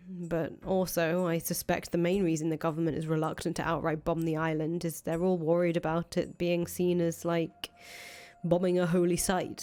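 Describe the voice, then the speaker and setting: A woman's voice: lowish voice, darkish tone, natural voice. A woman playing a cynical, foul-mouthed ousted rebel leader in a visual novel, thinking aloud in casual, profane speech. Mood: wry, brooding. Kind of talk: acted reading of visual novel dialogue. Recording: music under the voice.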